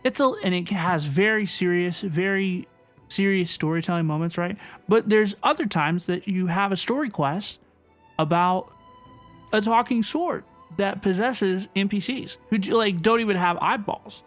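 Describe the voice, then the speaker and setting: Woman streamer chatting through a game, casual, medium pitch, soft music behind. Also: normal speed. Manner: chatty, repeats phrases for emphasis. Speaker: video game livestreamer. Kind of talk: casual playthrough commentary.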